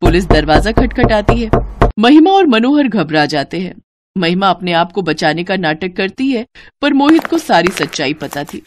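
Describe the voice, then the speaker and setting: A woman's voice: mid-range voice, very animated, moderate pace, background noise. A woman narrating a scripted cartoon story in a dramatic storytelling style.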